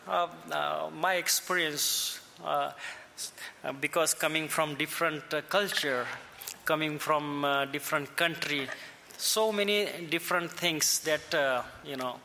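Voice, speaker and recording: Indian-accented male pastor, slow and halting, bright tone, echoey hall. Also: on the high side, vocal fry, a little hiss or hum. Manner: slow and halting, with many fillers and restarts. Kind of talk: sermon. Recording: echoey hall, faint ambience.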